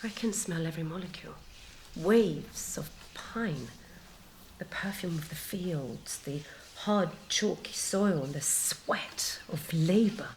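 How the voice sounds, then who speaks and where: A woman playing a dreamy, tender heroine recalling what she senses in a soft, breathy, hushed monologue, savoring each phrase. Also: fairly low pitch, slow, a little hiss or hum. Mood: tender reverie. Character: dreamy, tender woman hero recalling sensory memories with wonder. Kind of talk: intimate dramatized monologue. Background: music.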